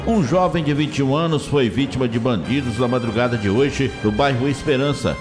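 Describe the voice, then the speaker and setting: A man reads police reports with a Minas Gerais Brazilian accent, steady and formal. His voice carries a long reverb tail, and clear music plays beneath him. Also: mid-range voice. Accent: Brazilian Portuguese, Minas Gerais. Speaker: police news reader. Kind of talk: scripted news reading.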